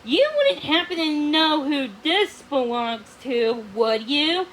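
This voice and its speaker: A woman's voice: high voice, lively intonation, takes it slowly, a bit bright, a little hiss or hum, full character voice, rough recording. A woman voicing a menacing, boastful male sorcerer, the villain of a fairy-tale storybook. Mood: sly.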